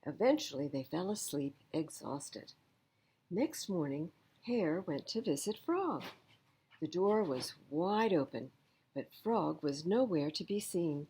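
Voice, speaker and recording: Slow, expressive woman storyteller, mellow voice. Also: deep voice, slight background noise. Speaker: children's storyteller. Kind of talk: expressive picture-book reading for children.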